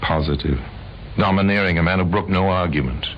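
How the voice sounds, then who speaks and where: Deep, deliberate radio crime narrator , a man. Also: lightly acted. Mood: grave. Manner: deliberate, dramatic. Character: grave, sardonic male narrator of murder cases. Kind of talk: scripted old-time radio crime narration. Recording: dull, noisy old radio recording.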